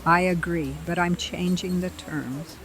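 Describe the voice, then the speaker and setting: An actress voicing a calm, formal and assured mysterious woman trader. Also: on the low side, a crowd in the background. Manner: measured, deliberate, formal.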